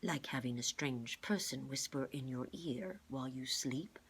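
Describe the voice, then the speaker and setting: A woman voicing a calm, clever older man in a storybook, measured and formal as he explains. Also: a bass voice, breathy edge, exaggerated voice. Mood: patient explaining.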